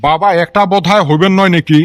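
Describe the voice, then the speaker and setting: Guy doing a cartoon village husband, high voice, rangpuri accent, always complaining n sarcastic. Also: steady tone, fast talker, natural voice. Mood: wry, grumbling. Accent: northern Bangladeshi Rangpuri. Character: grumbling, hard-up village husband and tea seller. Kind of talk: comedy cartoon voice acting in rural dialect.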